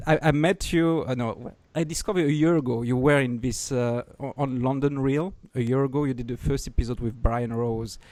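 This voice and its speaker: A man hosts a casual podcast in English with a French accent. He rambles, gets excited and swears freely. His pitch is average, and the sound is dry and close. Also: moderate pace, hardly any pauses, dry recording. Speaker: podcast host.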